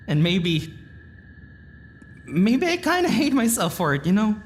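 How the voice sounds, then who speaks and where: An actress playing a tender but angry woman full of regret in an audio drama, speaking haltingly and with emotion. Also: mid-range voice. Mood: self-reproachful. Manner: halting, emotional, sighing pauses.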